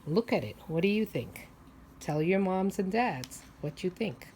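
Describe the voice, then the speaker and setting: Lady preschool teacher doing a storytime read aloud, lowish voice, really slow, asks the kids questions, stretches key words. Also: animated, warm tone, a little hiss or hum. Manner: simple explaining, questioning, drawn-out emphasis on key words. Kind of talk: picture-book read-aloud for young children, asking questions.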